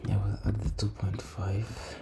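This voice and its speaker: Male trader for casual screen-share commentary: deep, flat delivery, low energy, hesitant, with clear background noise and keyboard sounds. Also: measured pace. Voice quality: low, creaky voice. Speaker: trader recording a backtesting session. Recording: noisy room, keyboard and typing sounds.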